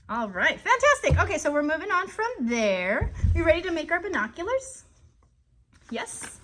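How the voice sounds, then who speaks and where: Bright, high-voiced woman hosting for children. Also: a little hiss or hum. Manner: animated, chatty, addresses children directly with frequent check-in questions. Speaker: children's educational show host. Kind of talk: informal lesson for children, live stream.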